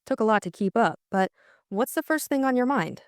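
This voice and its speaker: A woman's voice: on the high side, animated, brisk, no room sound, studio quality. A young adult woman co-hosting an audiobook, reading narration and casually discussing the book.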